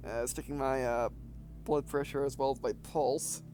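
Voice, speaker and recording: A man in his twenties giving casual, halting let's play commentary, often repeating phrases as he goes. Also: medium pitch, steady tone, darkish tone, a little hiss or hum. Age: 20s. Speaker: gaming video host. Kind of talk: casual let's play commentary, reading game text aloud.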